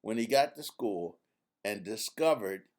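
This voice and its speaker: A man reading his own children's book aloud as an expressive, emphatic storyteller. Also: fairly low pitch, unhurried.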